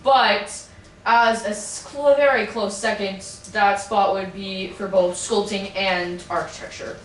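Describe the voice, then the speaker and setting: A woman's voice: medium pitch, fairly even intonation, lightly acted. A young woman plays a proud, touchy Renaissance master who brags about his art and snaps back with sarcasm. The voice stays close to her own, recorded from a distance in an echoey room with faint noise behind. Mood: snippy, boastful. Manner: sarcastic, quick comebacks. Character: boastful male Renaissance painter, touchy and snippy. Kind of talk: amateur mock interview roleplay.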